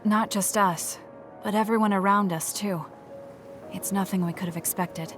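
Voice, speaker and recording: A woman's voice: mid-range voice, steady tone, normal speed, soft background music, natural voice. Cool, terse young woman fighter voiced by an actress. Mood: cool, reserved. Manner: cool, terse, matter-of-fact. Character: stoic, blunt young woman fighter, big-sister type. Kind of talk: English video game voice acting.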